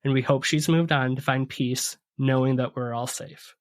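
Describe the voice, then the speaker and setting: A man's voice: medium pitch, fairly even intonation, normal speed. A man co-hosting a podcast, telling spooky stories in a conversational way and ad-libbing as he goes. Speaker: podcast co-host.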